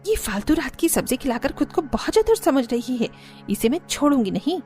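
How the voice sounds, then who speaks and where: A woman's voice: sits high, sing-song, brisk, a little mellow, faint music behind. A woman voicing a haughty, resentful young rich daughter-in-law in a cartoon story, with a whiny, indignant manner. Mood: resentful, scornful. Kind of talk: Hindi cartoon story dubbing.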